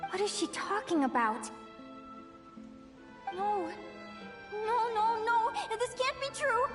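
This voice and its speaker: A high, girlish game heroine, young and adventurous but easily bewildered. She speaks in an emotive, halting way with a wistful streak. Soft music plays behind her. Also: very animated, heavily acted. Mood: distraught. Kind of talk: scripted video game voice acting.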